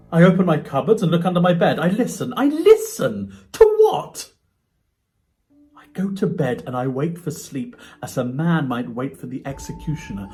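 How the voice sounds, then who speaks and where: A man's voice: medium pitch, close to an everyday voice. A man playing a tormented, unravelling man in a dramatic, intense reading of a classic ghost story. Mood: panicked, then dreading. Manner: theatrical, intense, swinging from hushed dread to agitation. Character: frightened, tormented man slowly unravelling, confessing his night terrors. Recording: music behind the voice.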